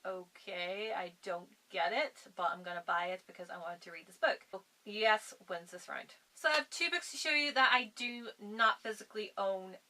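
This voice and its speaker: Bright-voiced woman vlogger, slightly strained. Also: medium pitch, normal speed, few pauses, poor audio. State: strained voice from long filming. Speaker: book reviewer vlogger. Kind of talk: casual vlog chat.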